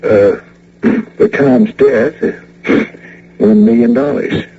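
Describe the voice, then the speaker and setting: An actor playing a gentle, awkward middle-aged father in a radio drama, gravelly and halting in delivery. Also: mid-range voice, very animated, exaggerated voice. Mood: awkward, hurt. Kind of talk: old-time radio drama acting. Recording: dull, noisy radio drama recording with hum.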